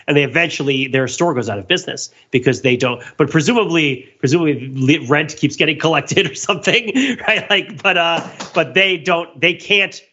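A man's voice: a little high, pushed and bright, husky, dull audio. A man hosting a podcast, talking casually in a rambling, analytical way. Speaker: podcast host. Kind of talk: casual discussion of a children's TV special.

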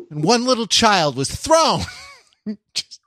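A man's voice: high-pitched, sing-song, slow, sharp tone, no room sound, clean studio sound. A man from Los Angeles co-hosting a podcast in casual chat, laughing often and slipping into mock-grand speeches. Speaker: podcast co-host.

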